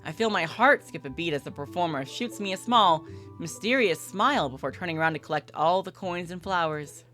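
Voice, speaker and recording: A woman's voice: on the low side, fairly quick, fairly bright tone, soft background music. A woman livestreamer reading a visual novel aloud and commenting casually.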